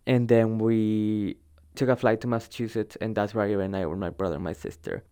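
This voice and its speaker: Smooth, low-voiced young man. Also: fairly even intonation, fairly quick, dark tone. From El Salvador. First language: Spanish. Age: young adult. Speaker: storyteller recounting own migration. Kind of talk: informal personal narrative.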